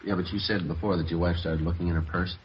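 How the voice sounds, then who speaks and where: An actor playing a terse, matter-of-fact police detective in a 1950s radio drama, narrating and questioning in a deadpan way. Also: male voice, sits low, quick pace, slight background noise, natural voice. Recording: dull vintage radio recording, music.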